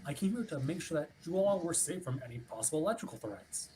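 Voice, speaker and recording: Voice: mid-range voice, animated, fast talker, darkish tone, lightly acted, low-quality recording. An actor playing an officious, politely pushy ship's watch officer in a formal, wordy script reading. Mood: politely insistent. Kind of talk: theatrical script reading over a livestream. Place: remote online stream.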